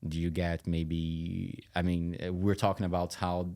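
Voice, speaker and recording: Very deep-voiced man, even tone. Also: a little slow, creaky. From Brazil. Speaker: tech company CEO, interview guest. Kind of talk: conversational explanation.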